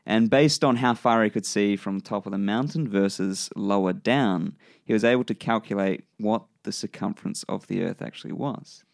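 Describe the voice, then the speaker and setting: A man's voice: fairly low pitch, normal speed, creaky, few pauses, dry recording. A man with a New Zealand accent co-hosting a casual science chat, explaining ideas with dry humor and frequent ums. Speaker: podcast co-host.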